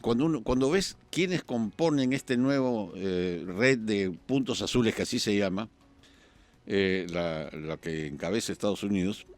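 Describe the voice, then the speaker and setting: Un periodista peruano, invitado en un programa de debate político, que habla pausado y piensa en voz alta entre muletillas. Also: male voice, lowish voice. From Peru. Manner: measured, thinking aloud with pauses and fillers. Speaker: journalist guest. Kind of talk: political talk-show discussion.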